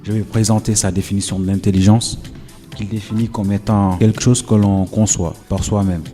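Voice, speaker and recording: Quiet, hesitant low-voiced young man. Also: little pitch movement, moderate pace, soft background music. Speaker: student columnist. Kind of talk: reading a short talk.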